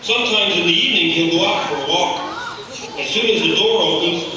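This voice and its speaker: An actor playing a gentle, loyal, timid dog that tells a story simply and childishly in a puppet show. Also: mid-range voice, fairly quick, over a noisy crowd, close to an everyday voice. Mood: contented. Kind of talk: scripted puppet show narration. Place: church hall. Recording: echoey hall, distant, noisy.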